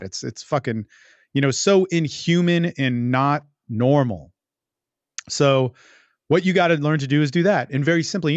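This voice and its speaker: A man's voice: on the high side, no echo, dull audio. A man hosting a podcast as a coach, giving casual, unscripted advice in a blunt, profane, emphatic and rambling way. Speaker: podcast host and dating coach.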